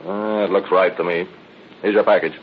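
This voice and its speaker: An actor playing a brutal, impatient American smuggling boss in an old radio drama, slangy and tough. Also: male voice, deep voice, steady tone, moderate pace, close to an everyday voice. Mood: guarded. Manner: threatening, slangy, tough-guy bark. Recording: tinny narrow-band old radio with hiss.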